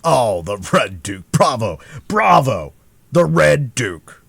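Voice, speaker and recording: A man voicing a boastful, blustering musketeer who speaks loudly and with self-satisfaction in an audiobook reading. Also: deep voice, big pitch swings, normal speed, breathy edge, no room sound, heavily acted. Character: boastful, hearty male musketeer Porthos.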